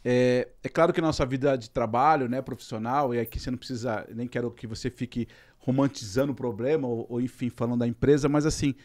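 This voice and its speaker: Fast-talking man hosting a chatty interview. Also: medium pitch, a little mellow. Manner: quick, chatty, keeps checking in with "né?". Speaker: podcast host. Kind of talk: conversational interview.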